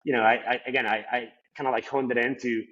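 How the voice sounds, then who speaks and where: A young man from Colombia talks quickly, his Colombian accent showing through a native Spanish speaker's English. He rambles casually, stacking fillers, at a mid-range pitch. The recording sounds slightly dull and lo-fi. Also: level tone, fairly bright tone. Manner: rambling, heavy on "like" and "you know" fillers. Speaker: podcast guest. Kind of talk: casual interview talk.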